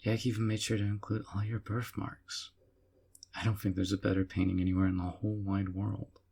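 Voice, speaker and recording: An actor playing a sweetly possessive young yandere man in an audio roleplay, doting and softly teasing. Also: lowish voice, flat delivery, a little fast, creaky, a little hiss or hum. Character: doting obsessive young male yandere captor.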